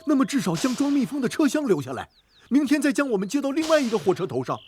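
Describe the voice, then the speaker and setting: Man voicing an indignant high-pitched beekeeper. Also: sing-song, quick pace, darkish tone, soft background music. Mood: indignant, pleading. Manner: insistent, protesting, calling out. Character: indignant, pleading male beekeeper, loyal to his bees.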